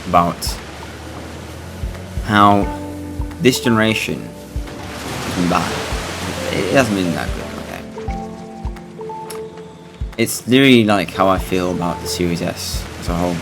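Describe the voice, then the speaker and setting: Low, creaky-voiced man rambling over gameplay. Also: expressive, over music. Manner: rambling, halting, blunt with swearing. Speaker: gaming video host. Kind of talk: casual gameplay commentary.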